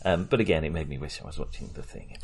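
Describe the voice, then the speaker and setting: A man's voice: rumbling low, expressive, quick pace, slight background noise. A man co-hosting a casual film discussion, giving his opinions bluntly and emphatically. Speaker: film podcast co-host.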